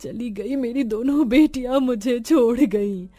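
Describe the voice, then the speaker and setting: A woman's voice: on the high side, measured pace, mellow, dry recording. A woman voicing a poor, devout, long-suffering village mother in an earnest, plaintive and tender way. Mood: sorrowful.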